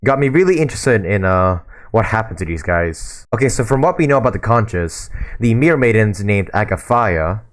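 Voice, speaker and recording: Young male gaming host, hoarse from a sore throat, rambling casual commentary. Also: lowish voice, expressive, moderate pace, hardly any pauses. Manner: loose, with frequent fillers and repeats. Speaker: gaming YouTuber.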